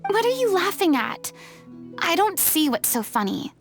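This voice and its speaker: An actress voicing a commanding but caring young stage performer, firm and earnest, in a theatrical video game style. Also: female voice, very high voice, very animated, normal speed, full character voice. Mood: indignant. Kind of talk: dramatic video game voice acting. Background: music.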